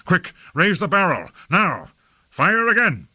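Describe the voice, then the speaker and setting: A man's voice: on the high side, expressive, moderate pace, voice pushed up. A man voicing an imperious, hot-tempered lord in an audiobook, harsh and urgent as he gives commands. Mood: angry, desperate. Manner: urgent, peremptory, shouting commands.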